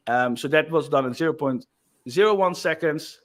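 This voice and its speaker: A man giving a casual screen-share demo of software, loose and chatty with frequent fillers. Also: on the high side, normal speed. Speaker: software developer presenting.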